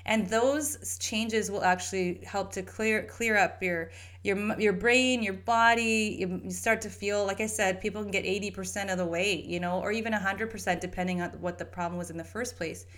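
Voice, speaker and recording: A woman from Canada talks through her own story as host of a solo podcast. Her pitch sits in an ordinary middle range. She rambles and restarts often, and she stays earnest and chatty throughout. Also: steady tone, moderate pace, a bit bright. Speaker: podcast host and holistic health practitioner. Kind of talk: casual solo podcast monologue.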